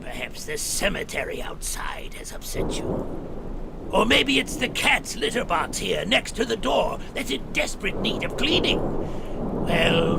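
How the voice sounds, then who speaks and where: Casting: eccentric sinister doctor for old radio horror, heavily gravelly, high and swooping, insinuating, mock-polite. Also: male voice, heavy background noise, full character voice. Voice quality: creaky. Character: eccentric, theatrical, sinister host doctor of a weird museum. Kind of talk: 1940s radio horror acting.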